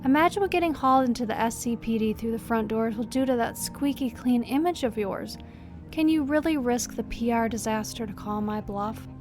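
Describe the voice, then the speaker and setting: A woman's voice: fairly high pitch, a little fast, natural voice. A woman playing a tough, sardonic police captain who questions relentlessly in an audio drama. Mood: threatening. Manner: sarcastic, probing, unflinching. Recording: music behind the voice.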